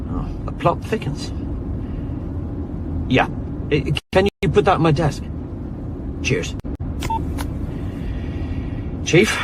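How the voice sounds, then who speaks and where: An actor playing an informal, helpful, matter-of-fact junior police detective in a radio drama. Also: male voice, a little high, noisy background.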